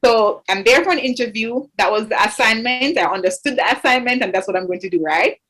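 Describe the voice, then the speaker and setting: A woman's voice: on the high side, fast, fairly bright tone. Young woman from Jamaica, interview guest, earnest and thoughtful, lots of "you know" fillers. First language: English. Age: 20s. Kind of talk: interview conversation.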